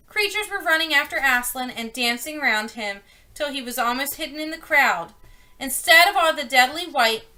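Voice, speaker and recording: A woman with a high, bright voice reads a children's book aloud. She tells it expressively and stumbles slightly now and then. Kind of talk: read-aloud storytelling.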